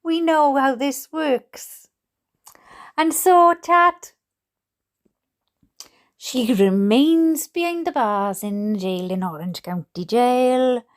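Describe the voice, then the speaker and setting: A Welsh woman reading aloud casually with chatty, jokey asides to her online audience, in an expressive, self-deprecating way. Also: high voice, very slow, long pauses. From Wales. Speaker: YouTube host reading letters aloud.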